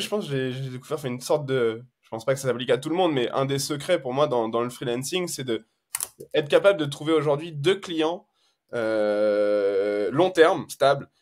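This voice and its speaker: Hesitant French-speaking man, casual chat. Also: mid-range voice. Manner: relaxed, hesitant, many fillers. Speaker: SEO consultant guest.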